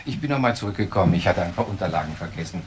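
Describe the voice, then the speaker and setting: Desperate, deep-voiced controlling professor. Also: male voice, animated, rapid-fire, a little hiss or hum. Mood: weary, reserved. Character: desperate, controlling professor who confesses to killing his wife. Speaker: actor in a crime radio play. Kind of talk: German crime radio play acting.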